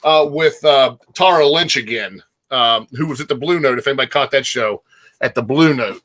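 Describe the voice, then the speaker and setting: A man's voice: medium pitch, moderate pace, a bit bright. An American man hosting a casual talk show, going through listings and lists in a conversational way. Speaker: host presenting show listings and top-five lists. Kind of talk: casual chat.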